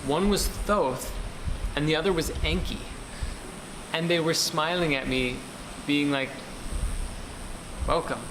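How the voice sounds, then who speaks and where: A man earnestly describing his vision, pausing to search for words and repeating himself as he goes. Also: on the high side. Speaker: ceremony participant. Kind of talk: personal testimony. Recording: roomy reverb with background noise.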